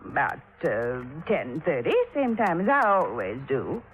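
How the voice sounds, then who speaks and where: A woman's voice: very deep voice, sing-song, a little slow, a little hiss or hum, heavily acted. An actress in a 1950s radio drama playing a fretful, proper, kindly woman, on a dull old radio recording. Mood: worried. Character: fretful, kindly woman worried for her cat.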